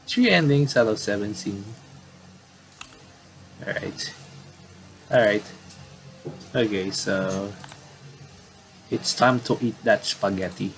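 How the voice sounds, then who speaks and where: A man's voice: fairly low pitch, takes it slowly, long pauses, slight background noise, rough recording. A man commentating on a game playthrough, casually reading story text aloud and often stumbling and rereading phrases.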